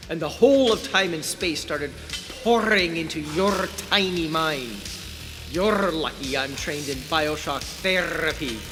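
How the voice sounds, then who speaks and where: High-pitched, exasperated scientist in long reverb. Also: male voice. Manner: exasperated, sarcastic. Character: irritable sardonic male scientist working on dangerous experiments. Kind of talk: audio drama acting. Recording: long reverb, music underneath.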